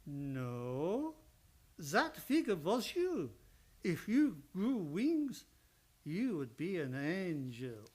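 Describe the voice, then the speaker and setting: A woman playing a wise, deliberate dream-reading mentor in old time radio drama. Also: fairly low pitch, sing-song, warm tone, slightly rough, exaggerated voice. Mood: knowing, measured.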